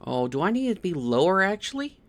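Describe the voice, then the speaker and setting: A man's voice: high-pitched, big pitch swings, fast talker. A man doing casual game stream commentary, thinking aloud and often repeating words as he puzzles things out.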